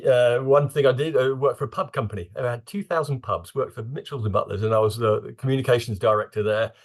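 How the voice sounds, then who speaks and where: A man born in Singapore who speaks as a forum guest, introducing himself with frequent fillers and hesitations. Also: mid-range voice. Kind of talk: casual online talk.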